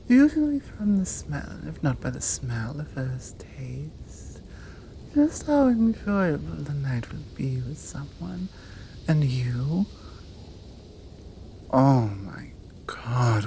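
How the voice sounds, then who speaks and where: Casting: female performer for commanding, flirtatious British monster woman, ASMR roleplay. Unhurried, mellow delivery with teasing whispered drops. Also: low-pitched, gravelly, slight background noise, heavily acted. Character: seductive, commanding horned fanged monster woman. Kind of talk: intimate ASMR roleplay acting.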